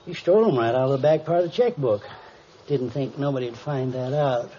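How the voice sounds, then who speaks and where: Folksy, eager old-radio shopkeeper acted by a man, garrulous henpecked husband, mellow delivery, dull noisy vintage recording. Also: mid-range voice, brisk, lightly acted. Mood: folksy, chatty. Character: folksy, chatty, henpecked small-town-style shopkeeper husband. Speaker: crime victim reporting stolen checks. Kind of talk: 1950s radio drama acting.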